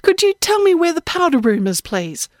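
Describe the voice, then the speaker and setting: A woman voicing a prim, eerie old woman who haunts funerals, speaking in a genteel, unhurried, knowing way. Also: very high-pitched, sing-song, no room sound, clean studio sound, voice pushed up. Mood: calm, knowing.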